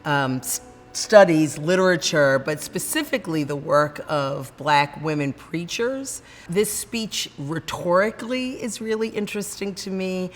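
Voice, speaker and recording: Earnest woman scholar, lowish voice. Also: animated, in a large hall. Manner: thoughtful, earnest, with pauses and fillers. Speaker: literature scholar. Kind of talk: lecture commentary.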